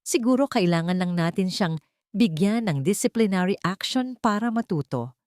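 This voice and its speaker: A woman's voice: medium pitch, animated, moderate pace, darkish tone, no echo, studio quality, natural voice. Woman voicing a scheming, falsely solicitous Filipino male heir. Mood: feigned concern. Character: smooth, scheming male company heir feigning kindness.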